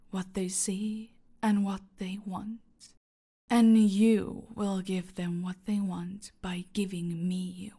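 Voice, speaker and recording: A woman acts out a female crime boss in an audio roleplay, seductive and utterly in command. She speaks extremely slowly, with a husky, breathy voice that often sinks into whispers. A crackly fry edges her haughty, menacing phrases. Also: mid-range voice, a little mellow. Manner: slow, menacing, haughty, purring endearments. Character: seductive, domineering female cartel boss villain. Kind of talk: scripted roleplay audio acting.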